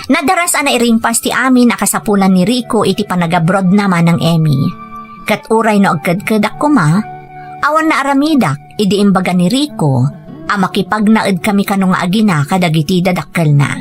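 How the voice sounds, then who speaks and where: A woman's voice: medium pitch, lively intonation, a little fast, lightly acted. Woman acting an earnest, accepting young wife. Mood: resigned narration. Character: dutiful young wife, hesitant but accepting. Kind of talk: scripted audio drama dialogue and narration. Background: faint music.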